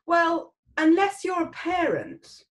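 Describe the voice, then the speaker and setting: A woman's voice: very high voice, sing-song, slow pace. A young adult woman interviewing over a video call from a room at home, making light, wry remarks between short murmured acknowledgements. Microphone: laptop webcam on a video call.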